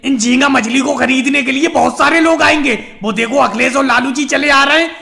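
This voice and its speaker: A man puts on a very high, sharp, creaky cartoon voice as a stubborn male politician turned fishmonger. He argues his way through an animated comedy skit. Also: a little fast, exaggerated voice. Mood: reassuring.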